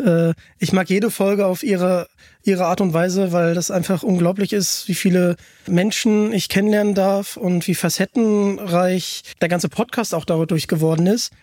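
A man's voice: high-pitched, darkish tone, no echo, studio quality. A man hosting a podcast, chatting casually and with enthusiasm. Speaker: podcast host.

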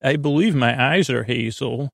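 A man hosting a sleep podcast, rambling in a meandering, stammering monologue with frequent digressions and a gravelly, creaky voice. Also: mid-range voice, moderate pace, no room sound, clean studio sound. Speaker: podcast host.

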